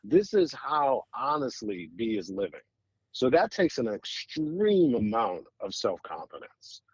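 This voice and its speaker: A man's voice: medium pitch, very animated, a little slow, slightly rough, dry recording, poor audio. An American man hosting a podcast interview in a casual, conversational style, with meandering and admiring questions. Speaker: podcast host interviewing guests.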